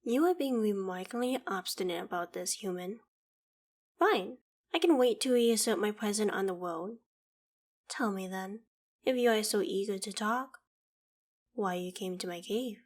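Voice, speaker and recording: A woman's voice: mid-range voice, normal speed, natural voice. Proud, imperious ancient dragon, acted by a woman. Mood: exasperated, curious. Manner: formal archaic diction, imperious, theatrical. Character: proud ancient female dragon, haughty but fond of humans. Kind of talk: scripted audio roleplay monologue.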